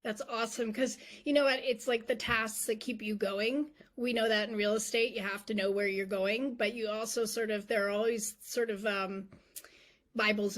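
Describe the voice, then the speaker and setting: A woman's voice: medium pitch, a bit bright. A woman hosting a casual interview, chatty and affirming, circling through her questions. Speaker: interviewer, organization host.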